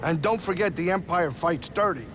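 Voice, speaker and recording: A man's voice: sits high, normal speed, background noise, close to an everyday voice. A man playing a wisecracking, nervous alien pilot and gambler in a video game, talking in a sardonic, complaining way. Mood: anxious grumbling. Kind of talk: video game cutscene acting. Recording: loud music over the voice.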